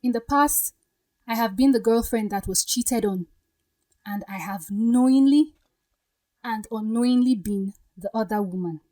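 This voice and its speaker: A woman acts a defiant young bride-to-be in a radio drama. Her voice is high, with a firm, pointed manner that carries wounded pride. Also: measured pace, dark tone, lightly acted. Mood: resolute.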